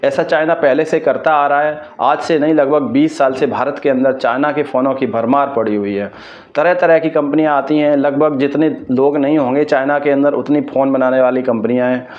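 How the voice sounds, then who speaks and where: A man's voice: medium pitch, fairly even intonation, fairly quick, darkish tone. Dull-sounding man explaining news. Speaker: host of an explainer video channel. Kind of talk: explanatory news commentary addressed to viewers.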